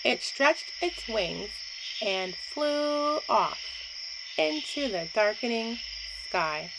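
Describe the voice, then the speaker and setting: A woman reading a children's bedtime story aloud in an expressive, deliberate way with pauses. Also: a little high, heavy background noise. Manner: slow deliberate phrasing with pauses, hushing on refrains.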